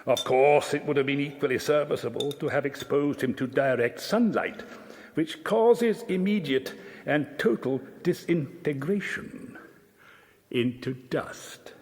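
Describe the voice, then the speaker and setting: A man's voice: mid-range voice, unhurried, darkish tone, long reverb tail, natural voice. An actor playing a pedantic Scottish steward and librarian in his sixties, formal and lecturing, in a radio drama. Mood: pedantic, disdainful. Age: 60s. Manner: lecturing, formal, scornful of superstition.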